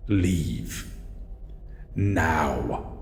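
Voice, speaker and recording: Very deep, gravelly shadow monster. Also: male voice, lively intonation, full character voice. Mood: cold, menacing. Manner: halting, brooding, wary. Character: grumpy, timid male shadow monster guarding its old house. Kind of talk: audio roleplay acting. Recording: echoey hall reverb, faint background noise.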